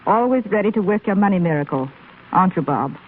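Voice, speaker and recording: A woman's voice: mid-range voice, expressive, fast, lightly acted. An actress playing a practical, cautious woman confidante in a 1930s radio drama. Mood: practical, wry. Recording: muffled scratchy 1930s radio broadcast.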